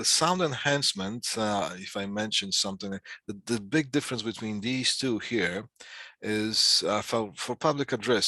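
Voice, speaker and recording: A man giving an informal slide lecture as an instructor, explaining in short, halting phrases with asides, close on the microphone. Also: on the low side, pushed and bright, no room sound. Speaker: instructor giving a class on PA systems.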